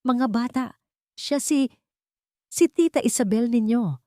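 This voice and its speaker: A woman voicing a weary, overwhelmed young man in an audio love story, halting and earnest. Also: fairly high pitch, warm tone, no echo, clean studio sound, voice pushed up, natural voice. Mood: awkward, forced. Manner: halting, subdued confession.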